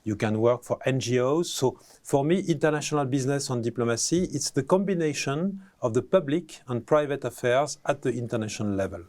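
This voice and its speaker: A man, a professor answering interview questions, pausing often with fillers and restarting phrases. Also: medium pitch, lively intonation, darkish tone. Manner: hesitant, many fillers and restarts.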